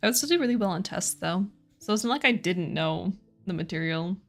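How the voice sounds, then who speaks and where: A chatty young woman streams and talks easily with her viewers while she draws. She giggles often and jokes about herself. Quiet music plays behind her. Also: fairly low pitch, animated, brisk, gravelly. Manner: chatty, giggly, self-deprecating. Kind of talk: casual chat with viewers while drawing.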